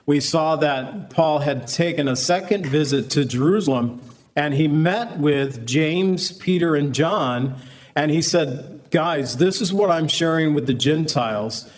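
A man's voice: fairly high pitch, a little slow. A man, a pastor, teaching the Bible verse by verse in a conversational preaching manner, acting out quoted voices as he explains.

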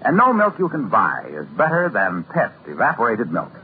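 A man's voice: mid-range voice, huge pitch swings, moderate pace, a little hiss or hum. An American man announcing in a 1950s radio style, reading ad copy with polished, emphatic salesmanship on a tinny old recording.

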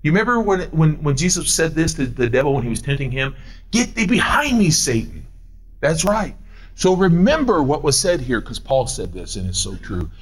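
Animated preaching man in his forties. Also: normal speed. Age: 40s. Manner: animated, swooping pitch, paces while preaching; answers his own imagined questions. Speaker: pastor. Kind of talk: sermon.

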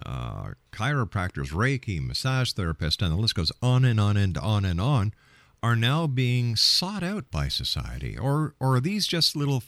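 A man's voice: on the low side, a bit bright, no room sound. A man hosting a radio interview, asking skeptical, probing questions and often repeating his opening words. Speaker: radio show host, interviewer.

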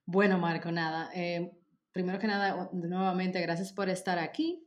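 A woman's voice: on the low side, normal speed, slight background noise. A woman, hosting a podcast in a friendly, chatty way and laughing often. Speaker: podcast host.

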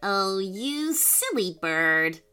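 A woman's voice: mid-range voice, big pitch swings, extremely slow, bright, forceful tone, clean tone, soft background music, exaggerated voice. A woman voicing a strange, cheeky, friendly giant talking mushroom in a children's story. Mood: mocking.

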